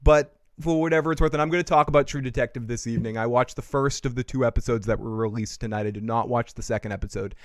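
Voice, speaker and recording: A man with a Boston accent hosting a fan talk show, casual and profane, rambling on excitably and emphatically. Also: medium pitch, fast talker, few pauses, no room sound, studio quality. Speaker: host of a TV fan call-in show.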